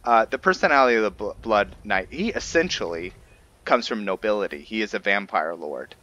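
A man giving casual, halting commentary as he explains his roleplay video game playthrough. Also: lowish voice, animated, sharp tone, dull audio. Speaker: gamer narrating a roleplay playthrough. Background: faint game music.

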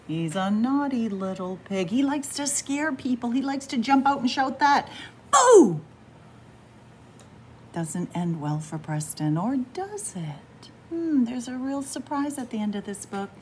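A middle-aged woman reading a picture book aloud for children, dramatic, with hushed whispers and drawn-out words. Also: a little high, lively intonation, a little hiss or hum. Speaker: library story time host. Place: small library room with bookshelves, indoors.

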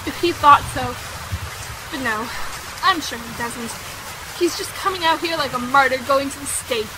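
A woman's voice: sits high, normal speed, background noise, close to an everyday voice. A woman acting a candid, outspoken, headstrong young girl in a period romantic audio drama, with a theatrical, emphatic manner. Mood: pleading, bitter.

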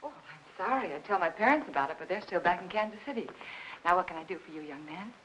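A sweet, coy young American woman, in classic 1950s Hollywood style. Her voice swings expressively and sounds very bright, with faint noise from the old soundtrack. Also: lowish voice, expressive, fast talker. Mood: kindly, coy. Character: sweet, playful young woman, easily flustered, quick to banter. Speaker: actress. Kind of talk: 1950s Hollywood film acting. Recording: faintly noisy vintage film soundtrack.

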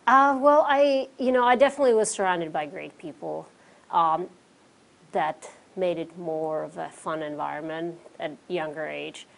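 Low-voiced Czech woman with gravelly edge. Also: moderate pace, low-quality recording. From Czech Republic. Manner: relaxed, many fillers like "you know" and "um". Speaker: interview guest, pro cyclist. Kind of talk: casual interview answers. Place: small studio with curtains, indoors.

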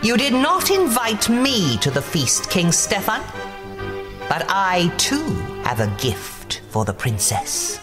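A woman plays a wicked fairy sorceress from a storybook. She is commanding and threatening, with sweeping pitch and slow, drawn-out words. Music plays behind her. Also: wildly swooping pitch. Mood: menacing. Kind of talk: storybook character reading.

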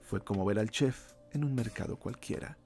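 A man reading a scripted horror story as narrator, slow and ominous, dropping his voice low on the grim lines. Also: a little mellow, faint music behind.

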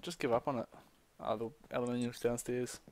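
A young man chatting casually while playing a game with a friend, reacting quickly. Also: mid-range voice, steady tone, darkish tone, a little hiss or hum. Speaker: gamer. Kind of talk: casual let's play chat.

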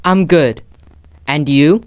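A woman reading scripted dialogue for an English textbook recording, with clear, lively delivery. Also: deep voice, takes it slowly. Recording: dry studio audio.

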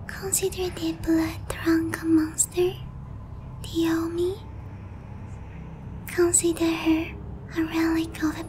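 A woman voicing an obsessive, lovesick young vampire princess in breathy, intimate roleplay ASMR. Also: very high-pitched, background noise, exaggerated voice. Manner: breathy, pleading, slow and intimate.

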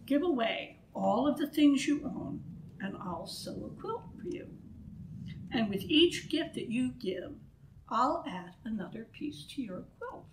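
A woman voicing a calm, firm and wise quiltmaker in a storybook, speaking in a measured way. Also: high voice, echoey room, distant mic, slight background noise, heavily acted, low-quality recording.

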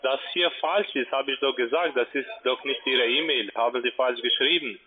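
A man's voice: mid-range voice, a little fast, crowd noise. A man who is not a native German speaker, working as a call-center agent on a phone sales call. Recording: noisy, clicking, tinny phone line. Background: call-center floor noise.

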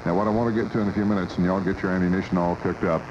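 American guy hosting talk radio, kinda folksy and low, noisy old tape. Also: fairly even intonation, rapid-fire. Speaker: radio talk show host. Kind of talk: casual talk radio interviewing. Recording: muffled 1980s AM radio cassette, loud noise.

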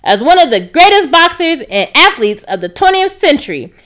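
A woman narrating a children's storybook, reading aloud expressively with clear enunciation. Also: high-pitched, sing-song, moderate pace, fairly bright tone. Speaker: narrator, read-aloud reader.

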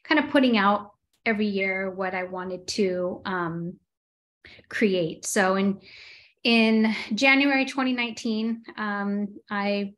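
A woman's voice: mid-range voice, slow, fairly bright tone. Casting: woman, forties, from Los Angeles, talkative interview guest on a video call, easy laugh. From Los Angeles, USA. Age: 40s. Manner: chatty, frequent "um" fillers, laughs easily. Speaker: guest entrepreneur. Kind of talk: casual interview chat. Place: home room with window blinds.

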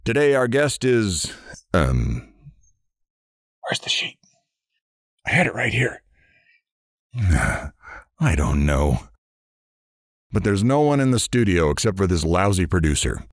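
A man's voice: low-pitched, sing-song, takes it slowly, long pauses, dry recording, clean studio sound, full character voice. An actor playing a brash, sarcastic morning radio DJ with irreverent showman banter in an audio drama. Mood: flustered. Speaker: radio DJ host.

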